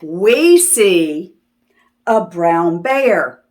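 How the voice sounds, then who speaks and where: Woman reading aloud, slow and very lively. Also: fairly low pitch. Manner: sing-song, repetitive phrasing addressed to young children; teacherly, asking questions and affirming answers. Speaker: host of children's read-aloud videos. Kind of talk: children's picture book read-aloud.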